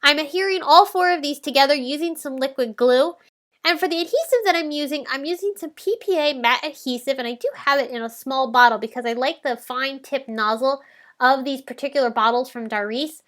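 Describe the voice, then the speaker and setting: A woman with a stuffy head cold, sounding nasal, calmly talking through a card-making tutorial step by step. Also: sits high, brisk. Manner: calm explanatory walkthrough. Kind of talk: step-by-step crafting voice-over.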